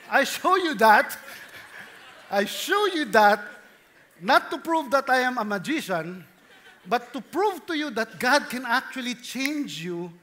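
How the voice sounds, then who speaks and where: A male pastor with a Filipino accent delivers a sermon in mixed English and Tagalog. His voice is extremely high for a man, with a bright, forceful edge. He is animated and playful, often putting questions to his listeners. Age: 30s. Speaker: pastor preaching.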